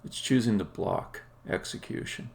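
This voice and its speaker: A man who is a game developer, talking casually and haltingly as he thinks aloud during a live coding stream. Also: fairly low pitch, gravelly, darkish tone.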